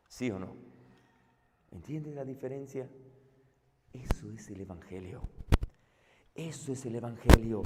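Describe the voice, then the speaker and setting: A man delivers a conference sermon in a notably high voice at an unhurried, deliberate pace. He drives his points with rhetorical questions to the audience. The recording sits in a large hall with a long, lingering reverb. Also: background noise. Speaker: preacher.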